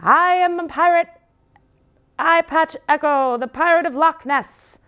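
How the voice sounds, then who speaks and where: A woman voicing a boisterous young male husky dog who plays at being a pirate in a children's story. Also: high-pitched, measured pace, pushed and bright, pitched above the performer's own voice, full character voice.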